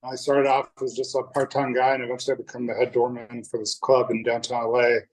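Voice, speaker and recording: A man's voice: medium pitch, completely flat delivery, fast, poor audio. Candid young man sharing his recovery story. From North Dakota. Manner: candid, loose, with many fillers and restarts. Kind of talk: personal testimony at a recovery meeting. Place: meeting room.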